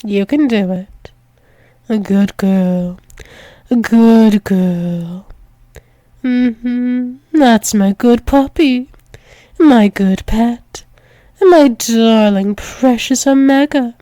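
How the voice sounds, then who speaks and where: A woman plays a dominant female alpha werewolf demon doting on her lover in an ASMR roleplay. Her voice is fairly high and soft-edged, and she speaks extremely slowly, coaxing and soothing with frequent drops into whispers. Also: no room sound, clean studio sound. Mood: soothing, tender. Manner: coaxing, soothing, slow, often dropping into whispers.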